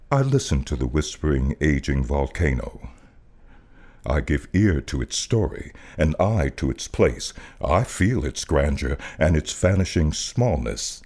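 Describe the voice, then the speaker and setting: Man, American accent, very low bass voice, unhurried pace, theatrical poetry recitation, deliberate phrasing. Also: darkish tone. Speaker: voice artist reading a poem.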